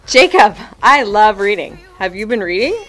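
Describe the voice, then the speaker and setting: A woman's voice: mid-range voice, very animated, moderate pace, faint music behind. A woman teacher co-anchoring a school news show from a studio desk, playful and scripted.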